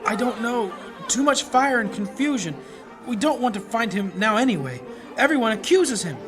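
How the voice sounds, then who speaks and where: An anxious, pragmatic priest played by a man speaks urgently in a fantasy radio play. Music and a clamouring crowd sit clearly behind the voice. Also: extremely high pitch, animated, normal speed. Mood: grim, resigned. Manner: urgent, earnest. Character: anxious but pragmatic male priest companion. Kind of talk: audio drama acting.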